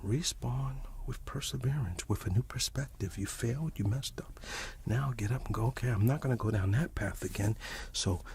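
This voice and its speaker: A man giving an encouraging, rambling ASMR monologue that slips between a breathy whisper and soft speech, often repeating himself. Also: mid-range voice, moderate pace. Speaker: ASMR channel host.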